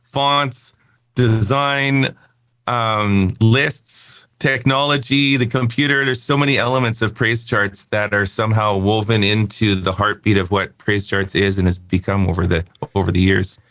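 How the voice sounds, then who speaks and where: Fifty-something guy, bright, kinda rambly, laughs a lot, dry close sound. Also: fairly low pitch, little pitch movement, measured pace, no echo. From Canada. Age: 50s. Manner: candid, rambling, laughs easily. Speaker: guest, company founder. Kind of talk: casual interview chat.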